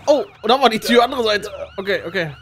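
A young man bantering playfully and excitably while playing a video game, dropping in English phrases. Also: sits high, quick pace. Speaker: let's player. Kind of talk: casual gaming banter during co-op play. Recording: noisy game audio with police sirens. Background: game sounds, sirens.

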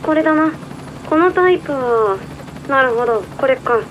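A woman's voice: sits high, lively intonation, moderate pace. A woman playing a cool, businesslike intelligence contact in a radio drama, terse and matter-of-fact. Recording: dull lo-fi transmission, faint noise.

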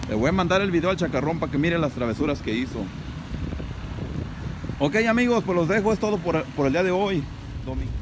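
A man in his thirties with a Mexican accent, a landscaper chatting casually to his viewers as friends and often repeating himself, recorded on a handheld phone. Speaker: landscaper and vlogger. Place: outdoors at a dump yard and inside a truck. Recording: noisy phone recording with vehicle rumble.

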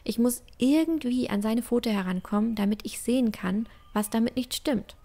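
Woman playing a creaky-voiced, bookish young girl. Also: on the high side, moderate pace, mellow. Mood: earnest. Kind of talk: German fantasy audio drama acting.

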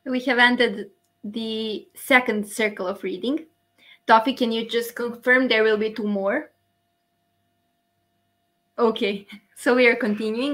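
A woman's voice: a little high, a bit bright. Woman hosting an online poetry reading. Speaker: host.

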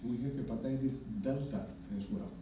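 A middle-aged man from Greece with a Greek accent, speaking on a conference panel as a hepatologist, measured and emphatic with dry humor. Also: medium pitch, recorded from a distance. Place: conference room with projector. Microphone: podium mic, filmed from far in the room. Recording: echoey room from afar, dull and noisy.

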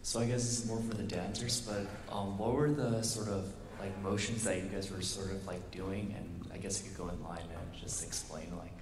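Male questioner, deep voice, casual and hesitant, strong room echo. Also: fairly even intonation, moderate pace, few pauses. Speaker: audience questioner. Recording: echoey room, clear background noise.

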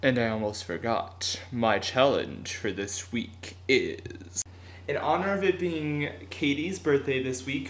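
Teen guy vlogging on his webcam, kinda high voice, roomy echo, bit of hiss. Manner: energetic, animated, laughs often. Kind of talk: casual fan vlog. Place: home room, indoors.

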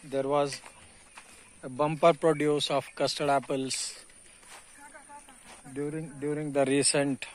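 A man's voice: a little high, little pitch movement, slow pace, pauses often, a little hiss or hum, low-quality recording. A middle-aged man with an Indian English accent, giving an earnest, explanatory selfie commentary while walking outdoors around a farm. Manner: earnest, explanatory, preachy asides. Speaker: farm vlogger. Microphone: phone held at arm's length.

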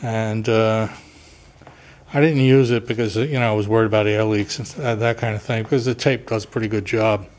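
A middle-aged man casually narrating his home repair work, unhurried and rambling with frequent uhs and throat clearing, recorded on a handheld camera in his garage workshop. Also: on the low side, fairly even intonation. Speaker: DIY home repair vlogger. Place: garage workshop and attic crawl space, indoors.

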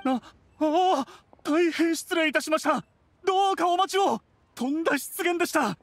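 High-voiced, deferential merchant. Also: male voice, normal speed, no room sound. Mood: flustered, apologetic. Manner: obsequious, very polite. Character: polite, deferential, eager merchant man. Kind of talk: Japanese anime game voice acting.

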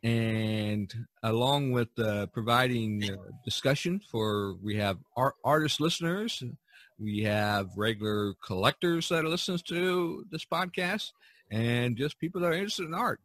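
A man hosting a podcast, chatting casually and haltingly about his work as an artist. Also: mid-range voice, a bit bright, no echo.

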